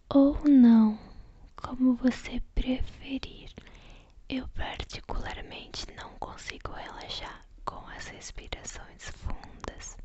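Slow whispering Brazilian woman, ASMR meditation. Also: sits high, muffled recording. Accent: Brazilian Portuguese. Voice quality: soft, breathy whisper. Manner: soothing, slow, gentle, affectionate. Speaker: ASMR creator guiding a sleep meditation.

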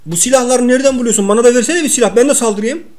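A man's voice: very high-pitched, very fast, a little mellow. A man playing a video game and chatting in casual slang with a co-player, exclaiming and repeating short phrases.

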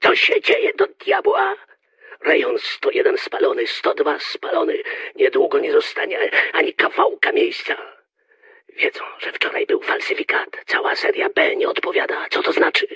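An actor playing a gruff, agitated conspirator in a radio drama, raspy and clipped, through a telephone filter. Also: male voice, on the high side, wildly swooping pitch, moderate pace, pushed and bright, full character voice. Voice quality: very raspy, gravelly.

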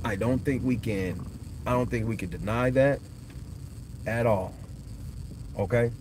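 A man's voice: mid-range voice, unhurried, darkish tone, a little hiss or hum, low-quality recording. A man in his thirties hosting a livestream, talking loosely and profanely in casual chat from a parked car at night into a phone on the dashboard. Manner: loose, profane, rambling talk. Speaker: livestream host.

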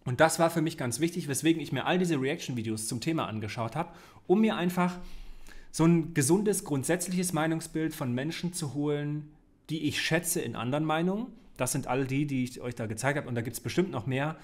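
A man's voice: on the high side, brisk. A man in his forties hosting a casual reaction video from his home studio, talking in a rambling, chatty way with many restarts and self-corrections. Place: home studio with desk and shelves. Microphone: studio mic on desk, headphones.

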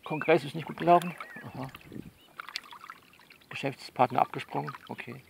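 A man playing a frank, worldly coach who talks a female client through things by phone in a blunt, coaxing, colloquial way with repeats and pauses. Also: a little high, creaky, noisy background. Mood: calm, coaxing. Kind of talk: improvised-sounding one-sided phone monologue in an audio novel.

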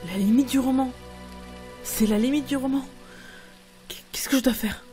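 Woman playing a snarky flustered fake princess in an audio drama, fairly high, blunt, music under it. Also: warm tone, close to an everyday voice. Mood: panicked. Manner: halting, exasperated, blunt. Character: sardonic, flustered woman editor trapped as fantasy princess.

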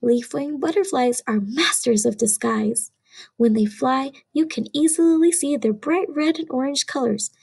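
A woman reading a children's picture book aloud as a storyteller, in an expressive way aimed at young listeners. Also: sits high, a little slow, creaky, slightly rough.

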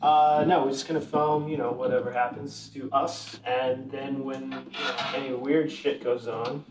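A man's voice: medium pitch, level tone, normal speed, natural voice. A man playing a cocky, teasing young American boyfriend in a found-footage film, sarcastic and offhand. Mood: casual, explaining. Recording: noisy, echoey handheld home-video film sound.

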